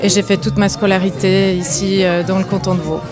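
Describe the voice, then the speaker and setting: A woman from Kosovo who works in integration, introducing herself in a measured, composed way. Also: medium pitch, little pitch movement, music in the background. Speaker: integration office worker. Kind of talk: self-introduction.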